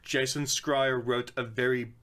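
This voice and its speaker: A man's voice: medium pitch, flat delivery, a little slow, a bit bright. A man co-hosting a podcast in a casual, blunt and opinionated way, with swearing. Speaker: podcast co-host. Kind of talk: casual chat.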